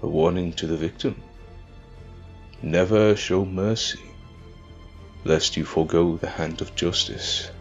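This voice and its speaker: A man's voice: low voice, steady tone, unhurried, pauses often, lo-fi sound, faint music behind. A man playing a dignified, stern older king in an audio drama, formal and regal. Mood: guarded, stern.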